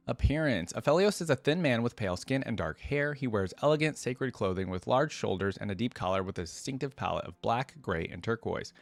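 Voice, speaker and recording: A man reading lore aloud for a reaction video, dropping in casual, curious asides as he thinks out loud. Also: fairly low pitch, dry recording.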